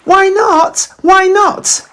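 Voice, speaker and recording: A woman's voice: very high voice, fairly even intonation, very slow, a bit bright, voice pushed up, full character voice. A woman voicing a curious, brave young monster child in a storybook, eager and full of questions.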